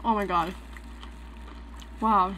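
A woman in her twenties chatting casually as she cooks and eats on camera, full of "like" fillers, sometimes dropping to a soft whisper. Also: medium pitch, fairly even intonation, extremely slow, a little hiss or hum, rough recording. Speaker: mukbang creator. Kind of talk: casual commentary while cooking and eating. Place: small indoor room with dark backdrop.

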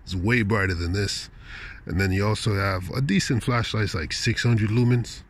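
A man's voice: on the low side, moderate pace. A man chatting in a laid-back, rambling, slangy way as he vlogs on a walk outdoors. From Canada. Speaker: vlogger. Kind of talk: casual rambling vlog chat. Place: outdoors, walking in wilderness at night.

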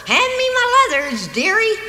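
A woman's voice: extremely high pitch, wildly swooping pitch, normal speed, sharp tone, exaggerated voice. A woman playing a stubborn, bossy old biker granny in a comic holiday audio drama. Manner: bossy, brash. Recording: echoey, long reverb, music behind.

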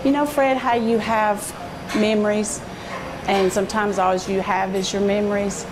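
A woman's voice: mid-range voice, little pitch movement, low-quality recording. Southern woman in her fifties, earnest. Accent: Southern US (Alabama). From Alabama. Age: 50s. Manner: earnest, halting, restarts when moved. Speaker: restaurant owner, interviewee. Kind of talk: emotional interview. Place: indoors in a small restaurant. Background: music.

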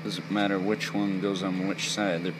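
A man's voice: low-pitched, level tone, moderate pace, noisy background. A young man giving a casual, off-the-cuff build tutorial in a rambling, jokey, self-deprecating way. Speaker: toy build video host.

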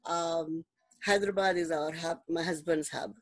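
A woman in her seventies with an Indian English accent and Telugu as her first language, unhurriedly telling her life story as an interview guest over a video call, with many pauses and fillers. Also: low-pitched, steady tone, no echo. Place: home room, indoors.

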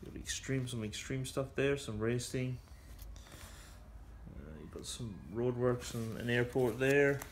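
A man casually showing off and reviewing a vintage building set, rambling with fillers, in a cluttered toy room. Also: mid-range voice. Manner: unhurried, rambling, with frequent fillers. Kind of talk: casual show-and-tell commentary. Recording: noisy home video.

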